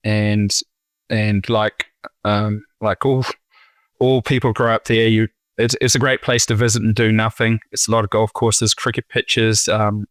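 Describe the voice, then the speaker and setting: A New Zealand man in his forties, a winemaker talking casually in an interview, halting with many fillers and restarts. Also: lowish voice, level tone, a bit bright, dry recording. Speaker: winemaker guest.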